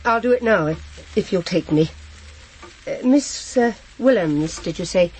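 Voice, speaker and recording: An actress playing a shocked, hesitant, proper middle-aged housewife who has been widowed, in a naturalistic radio drama. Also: female voice, mid-range voice, lively intonation, darkish tone, slightly rough. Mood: dazed, rambling. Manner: halting, flustered, repeats herself. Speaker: actor in a crime drama.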